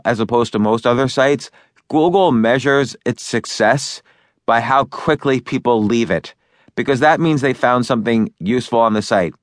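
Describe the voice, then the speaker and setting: A man's voice: medium pitch, dry recording, studio quality. A man narrating his own book in a loose, conversational way, with fillers and restarts as he talks over each point. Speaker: author and narrator.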